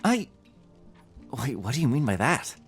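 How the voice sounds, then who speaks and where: Animated, flustered traveling bookseller man acting. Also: mid-range voice, measured pace, soft background music. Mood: bewildered. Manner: hesitant, stammering. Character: weary, amiable, easily flustered travelling bookseller. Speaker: actor in a fantasy audio drama. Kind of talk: fantasy podcast acting.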